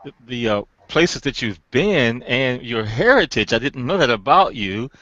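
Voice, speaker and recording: A man's voice: medium pitch, expressive, moderate pace, pushed and bright, no echo, lo-fi sound. A man hosting an online interview, upbeat and folksy, with frequent ums and uhs. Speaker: host.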